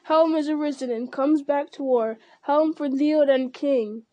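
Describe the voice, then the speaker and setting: A band of charging cavalry raise a rousing battle cry, as a woman reads it aloud. The voice is pushed high and loud. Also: fairly even intonation, measured pace, muffled recording.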